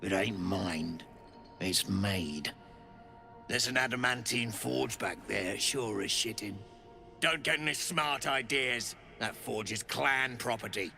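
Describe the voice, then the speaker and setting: An actor playing a gruff, bossy, foul-mouthed dwarf overseer of slaves in a video game, talking in curt earthy slang. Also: male voice, lowish voice, slow, pushed and bright, faint music behind, heavily acted. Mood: grudging, gruff. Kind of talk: video game character acting.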